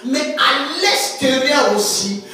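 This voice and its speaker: High-voiced woman preaching in an echoey hall. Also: animated, slow pace, pushed and bright. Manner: emphatic, repeats words, stretches key phrases. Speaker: prophetess preaching. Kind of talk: sermon. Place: church hall. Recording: echoey church hall, distant mic, faint music.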